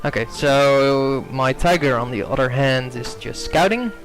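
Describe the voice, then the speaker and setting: A man's voice: medium pitch, a little slow, music in the background. A young Dutch-native man giving hesitant gameplay commentary, full of ums and self-corrections.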